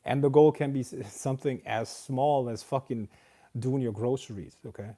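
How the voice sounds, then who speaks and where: A man's voice: mid-range voice, normal speed, warm tone. A man hosting a casual livestream Q&A, reading viewer questions aloud and then answering them in an emphatic, lecturing way. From outside America.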